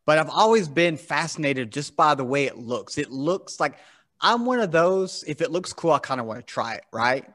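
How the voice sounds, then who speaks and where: A man's voice: a little high, lively intonation, normal speed, a bit bright. A man hosting a casual interview podcast, talking in an enthusiastic, chatty way. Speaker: podcast host.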